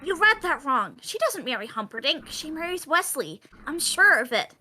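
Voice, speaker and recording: Stubborn little grandson, played by a woman: high, bright, insistent, very animated. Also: normal speed, heavily acted. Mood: indignant. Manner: protesting, insistent. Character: indignant, stubborn young grandson interrupting a bedtime story. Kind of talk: live script table read.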